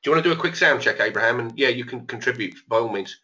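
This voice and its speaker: A man hosts a live online trading session, thinking aloud as he works through his trades. His voice is a little hoarse but bright and forceful. The webinar audio sounds dull and lo-fi. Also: mid-range voice, animated, very fast. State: losing his voice. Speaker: trading educator. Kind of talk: live trading room commentary.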